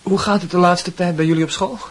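A man's voice: high-pitched, fairly even intonation. Hese, aarzelende jongeman. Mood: shy, halting. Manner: hesitant, halting, earnest. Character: earnest, hesitant young man, lovesick student. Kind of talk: radio play acting in Dutch.

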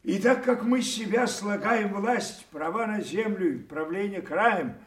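A man's voice: sits high, lively intonation, measured pace. An actor playing an aged, imperious, weary king in an audio play, delivering solemn commanding verse. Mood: regal, solemn. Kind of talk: theatrical audio play acting. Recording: echoey stage hall, distant from mic.

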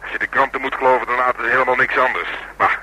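An actor playing a gleefully morbid murder-squad detective in a Dutch radio drama, telling things with drawn-out, sardonic relish. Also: male voice, mid-range voice, fairly even intonation, bright, forceful tone, husky, low-quality recording. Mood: jovial, mocking.

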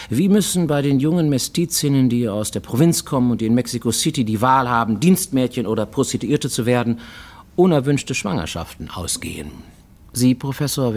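An actor playing a paranoid, self-justifying fugitive who works as an illicit medic, narrating formally and deliberately in a radio play monologue. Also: male voice, mid-range voice, a little mellow. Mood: bitter, matter-of-fact. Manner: formal, deliberate, insistently explaining himself to a listener.

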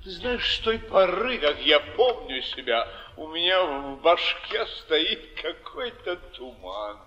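Timid fallen baron, theatrical, slow confessional delivery, plaintive, bewildered, piercing tone, vintage stage play. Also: male voice, on the high side, big pitch swings, bright, forceful tone, muffled recording, full character voice. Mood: anxious, bewildered. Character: ruined, bewildered nobleman, a timid flophouse baron. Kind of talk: 1950s Russian theatrical stage acting.

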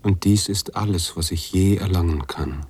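An actor playing a brooding male writer who narrates his own story in a measured radio-play monologue. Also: deep voice, a little mellow, husky. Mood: introspective.

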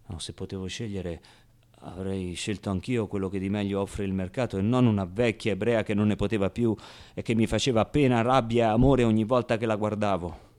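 A man's voice: fairly low pitch, darkish tone, close to an everyday voice. A man playing a streetwise, wry and wounded ten-year-old orphan boy in a theatrical radio monologue, talking in slangy, colloquial bursts and muttered asides. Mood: wistful, confiding. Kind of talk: theatrical radio monologue, first-person storytelling.

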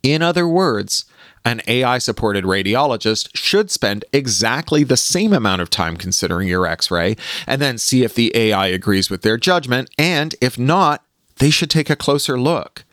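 A man hosting a podcast, reading a scripted opinion column aloud in an articulate, measured and emphatic way. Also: mid-range voice, animated, fairly bright tone, dry recording, studio quality. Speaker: podcast host reading own magazine column.

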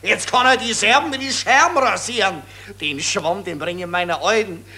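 Shrill Viennese street rabble-rouser. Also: male voice, normal speed. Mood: gloating, jeering. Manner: jeering, coarse dialect shouting. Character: loud rabble-rousing Viennese street man, crude, gloating, hateful. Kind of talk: 1940s radio acting.

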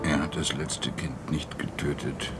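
An actor playing a grave, weary police detective in a German radio drama, brooding and earnest, with a gravelly voice. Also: male voice, rumbling low, steady tone, unhurried, loud music behind the voice.

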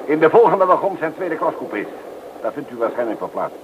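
An actor playing a self-assured upper-class gentleman with a suave, haughty manner in a radio play. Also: on the high side, sing-song, brisk. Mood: haughty, cool. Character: self-assured older upper-class gentleman pressing cognac on fellow travellers. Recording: dull, noisy old radio play recording.

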